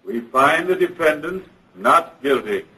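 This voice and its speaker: Slow, solemn jury foreman. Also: fairly high pitch, lively intonation, bright, forceful tone, breathy edge, heavily acted, low-quality recording. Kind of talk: 1940s radio acting.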